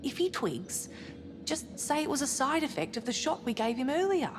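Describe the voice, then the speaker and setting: A woman's voice: high voice, sing-song, moderate pace, over music. A woman playing a rebellious, cocky, suspicious science officer in a sci-fi game, with an insistent, cheeky delivery. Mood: pleading. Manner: insistent, cheeky, foul-mouthed. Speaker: science officer on a spaceship crew. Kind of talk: naturalistic dramatic game cutscene acting.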